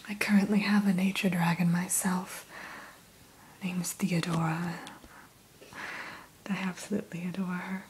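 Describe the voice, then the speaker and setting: A woman whispering softly and slowly into a close microphone, hosting an ASMR roleplay as a dragon hatchery keeper and telling the listener about each dragon. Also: on the low side, flat delivery, slight background noise, poor audio. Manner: soft, slow unvoiced whisper; gentle, storytelling tone addressing the listener.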